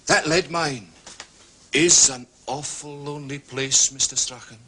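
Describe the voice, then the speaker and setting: An actor playing a dogged, sceptical police inspector with a husky, deliberate delivery. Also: male voice, fairly high pitch, steady tone, sharp tone. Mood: stern suspicion. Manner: deliberate, insistent.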